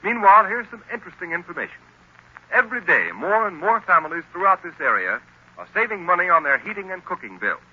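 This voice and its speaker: A man's voice: high-pitched, husky. An American man announcing a scripted advertisement in 1930s radio style, emphatic and formal. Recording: dull vintage radio recording.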